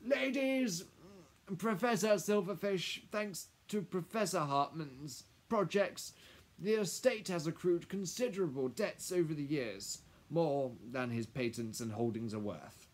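A man's voice: a little high, a little slow, voice pushed up. A man voicing a nervous, deferential lawyer who speaks in a fussy, hesitant, officious way in a storybook.

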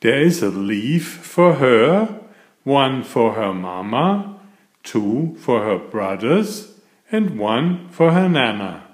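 Male storybook narrator for young children, unhurried and animated in pitch, mid-pitched voice, recorded in an echoey room. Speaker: storyteller and channel host. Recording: roomy, echoing room sound.

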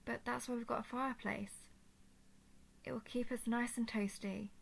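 A woman playing a possessive, desperate female dragon in an intimate roleplay audio drama, with a breathy voice that swings between tender and frantic. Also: sits high, takes it slowly, fairly bright tone, heavily acted. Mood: possessive, cooing.

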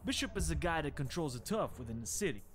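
Casting: cynical vampire foot soldier for a game, higher-pitched male voice, animated, sardonic street talk. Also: quick pace. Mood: explaining, blunt. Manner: slangy, blunt, sardonic. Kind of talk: video game dialogue. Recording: music behind the voice.

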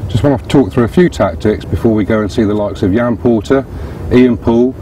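Mellow-voiced man on a lakeside. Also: medium pitch, a little fast. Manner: steady explanatory, talks through hands-on steps. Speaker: host presenting fishing tactics. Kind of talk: outdoor instructional demonstration. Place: outdoors on a grassy lakeside bank. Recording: distant outdoor mic with faint background noise.

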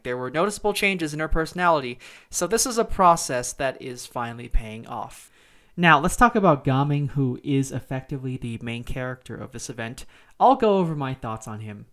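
A man's voice: mid-range voice, sharp tone. A man hosting a video game review, talking in a casual, opinionated way with conversational, emphatic phrasing.

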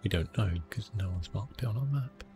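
ASMR game host, male, low and gravelly, musing aloud, faint music behind. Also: fairly quick. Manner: hesitant, thinking aloud. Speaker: gaming video host. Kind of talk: relaxed ASMR gameplay commentary. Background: soft game music.

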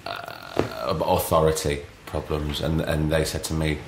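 A man with a very deep voice hosts a podcast in a chatty, encouraging way. His voice bounces around an echoey room. There's a faint hiss behind it. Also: very animated, moderate pace, creaky. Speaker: podcast host. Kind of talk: casual interview. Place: office.

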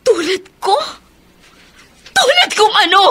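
A distraught young Filipino bride-to-be in a horror radio play. Her voice is extremely high and piercing, swooping with tearful melodrama. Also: sing-song, slow pace, pushed and bright, heavily acted. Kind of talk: radio drama acting.

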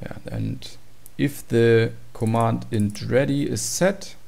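A man's voice: medium pitch, slow pace, vocal fry, a little mellow. A man giving an informal, matter-of-fact tutorial walkthrough of program code. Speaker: tutorial presenter.

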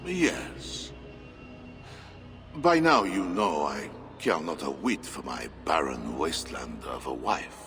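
An actor playing a scheming, sneering Frankish king who talks slowly and deliberately. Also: a bass voice, big pitch swings, fairly bright tone, faint music behind, full character voice. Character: scheming portly Frankish king, menacing, mocking. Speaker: king Charles. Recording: game score under a long-reverb hall.

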